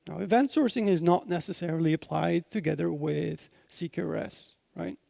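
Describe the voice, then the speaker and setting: A man's voice: on the high side, normal speed, vocal fry, dark tone, no room sound. A man from Belgium with a Belgian accent gives a technical conference talk, explaining things informally and often checking in with his listeners. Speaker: conference speaker, software consultant.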